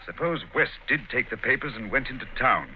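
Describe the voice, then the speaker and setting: An actor playing a sharp, brilliant male detective in a 1940s radio drama, brisk and quizzical in manner, on a muffled old radio recording. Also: medium pitch, lively intonation, a little hiss or hum, lightly acted. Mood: probing.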